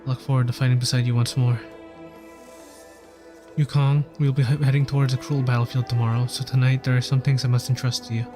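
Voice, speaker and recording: A male game streamer reads story text aloud in an earnest way. His pitch is average for a man, and he sometimes stumbles over a word. Faint game music plays behind him. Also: level tone, fast, dark tone. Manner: earnest, stumbles over words while reading.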